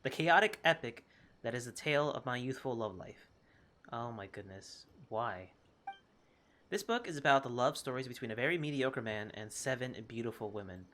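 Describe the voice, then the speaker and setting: A game streamer doing casual, expressive commentary and reading on-screen text aloud in an informal way. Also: mid-range voice, normal speed. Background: game music.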